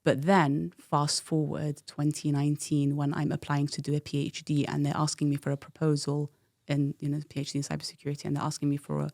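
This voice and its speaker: A woman in her thirties, originally from the UK, talks through her past in a frank, reflective way. Her voice has a gravelly, creaky texture. The recording is dry and close, with no room sound. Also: low-pitched, monotone, fairly quick, few pauses, a little mellow, no echo. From United Kingdom. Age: 30s. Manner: reflective, frank storytelling with frequent fillers. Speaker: guest. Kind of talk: candid personal interview.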